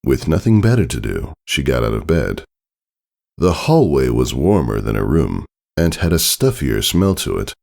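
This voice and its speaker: A man narrates fiction in a very low voice with a creaky edge. His delivery is measured and dramatic, moving expressively through the lines over background music. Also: sing-song, darkish tone. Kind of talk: scripted story narration. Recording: music under the voice.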